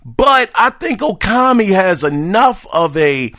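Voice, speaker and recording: Chatty hoarse male gaming podcast host. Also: high-pitched, sing-song, slow pace, a bit bright. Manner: loose, chatty, addresses listeners as brothers and y'all. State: hoarse, raspy from a worn voice. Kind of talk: casual gaming news podcast.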